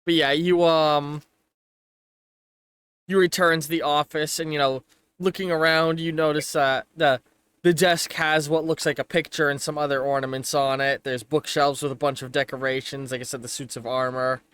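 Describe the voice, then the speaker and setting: Smooth higher-voiced male game master. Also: little pitch movement, a bit bright, no room sound. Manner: halting, casual, pausing to find words. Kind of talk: improvised tabletop game narration.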